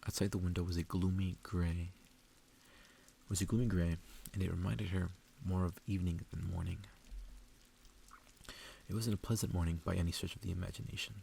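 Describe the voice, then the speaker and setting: Low, creaky-voiced man reading softly. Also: steady tone, fairly quick, long pauses. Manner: hushed, intimate, often slipping into whispers. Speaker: ASMR reader. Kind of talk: ASMR, soft reading aloud of a story. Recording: faint background noise.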